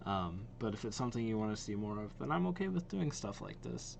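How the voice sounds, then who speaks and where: A man's voice: lowish voice, sing-song, fairly quick, gravelly, slight background noise. A man hosting a casual reaction vlog, talking informally and enthusiastically, with some hesitation. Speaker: video host reacting to game trailers.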